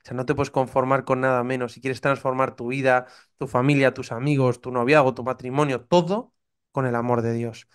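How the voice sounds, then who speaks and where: Fervent Spanish priest with a smooth voice. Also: male voice, medium pitch, fairly even intonation, fairly quick. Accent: Castilian Spanish. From Spain. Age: 30s. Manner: earnest, fervent storytelling, acts out his own past doubts. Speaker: priest, podcast guest. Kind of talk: personal testimony in conversation.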